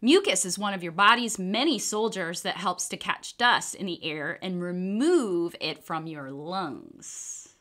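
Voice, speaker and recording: A woman's voice: on the low side, animated, a little slow, bright, forceful tone. A woman voicing a kindly male doctor in a children's storybook, explaining things in a reassuring way.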